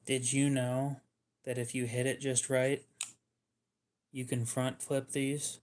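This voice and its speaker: A man hosting a livestream in casual chat, talking in a dry, monotone, subdued way that sounds depressed to him. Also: mid-range voice. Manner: dry, self-deprecating, unhurried. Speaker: livestream host.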